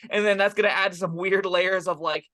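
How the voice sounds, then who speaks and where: A man co-hosting a casual tabletop game discussion, rambling in an animated way and slipping into acted-out asides. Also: high voice, moderate pace. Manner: animated, rambling, acts out short quips in asides. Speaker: co-host and tabletop game reviewer. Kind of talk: casual two-person discussion.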